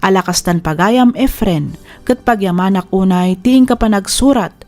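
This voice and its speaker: A woman's voice: medium pitch, normal speed, darkish tone. A woman hosting a drama program, reading a scripted letter and giving advice. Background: music under the voice.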